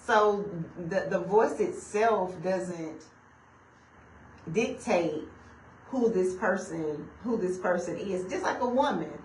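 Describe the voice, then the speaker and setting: A woman's voice: medium pitch, animated, takes it slowly, darkish tone, echoey room, recorded from a distance, a little hiss or hum, poor audio. A woman co-hosting a radio talk show, speaking casually with a sassy, emphatic manner.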